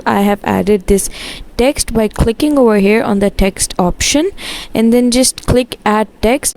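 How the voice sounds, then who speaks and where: A woman's voice: medium pitch, mellow. A woman narrating a step-by-step phone app tutorial as its host.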